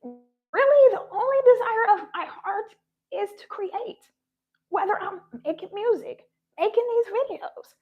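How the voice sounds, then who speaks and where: A woman's voice: very high voice, expressive, a little slow, narrow, tinny audio. A woman giving a heartfelt spiritual talk, her voice shaky and sounding close to tears. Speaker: spiritual vlogger.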